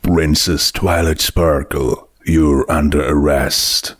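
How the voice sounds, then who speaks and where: A man voicing a burly stallion sheriff with a stern, gruff, commanding manner in a comic story. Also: very deep voice, steady tone, slow, fairly bright tone, pitched below the performer's own voice, heavily acted.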